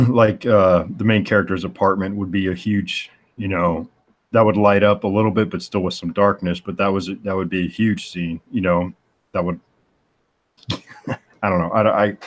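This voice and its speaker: Male comic artist, forties, very low voice, thoughtful and searching delivery in casual stream chat. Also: fairly even intonation, moderate pace. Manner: thoughtful, searches aloud for the right words.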